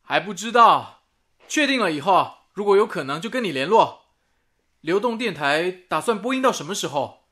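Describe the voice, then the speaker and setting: Earnest wartime radio station man, high voice, steady, radio drama. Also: normal speed, exaggerated voice. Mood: concerned.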